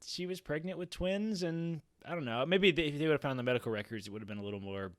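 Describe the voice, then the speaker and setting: An American man chatting on a podcast roundtable, jokey and halting, slipping into acted-out quotes. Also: a little high, dry recording, clean studio sound. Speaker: podcast panelist, medical resident. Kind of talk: casual roundtable chat. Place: home studio, remote video call. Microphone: close studio mic on boom arm.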